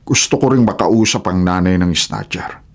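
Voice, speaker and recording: A man's voice: low voice, normal speed, darkish tone. A man playing a stern, vengeful older father in a Filipino radio drama, firm and moralizing.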